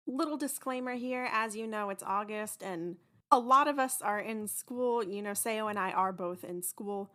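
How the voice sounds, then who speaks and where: Casting: young woman, podcast host, medium pitch, chatty and informal listener update. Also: fairly bright tone. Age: young adult. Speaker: host and producer of an audio drama podcast.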